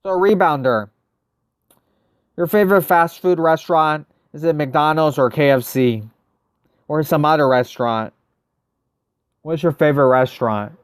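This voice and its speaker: A man's voice: a little high, a little slow, pauses often, smooth, dry recording, studio quality. A man, chatting casually as a livestream host, thinking aloud and answering viewers. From United States. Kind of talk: casual livestream gameplay chat.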